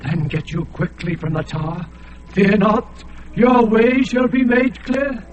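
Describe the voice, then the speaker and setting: An actor playing an ancient, blinded alien god who is enslaved and sorrowful, speaking in a grave, chanting way. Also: male voice, sits high, fairly even intonation, lo-fi sound, a little hiss or hum. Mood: sorrowful, solemn. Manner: slow, grave, chanting delivery. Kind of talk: radio drama acting.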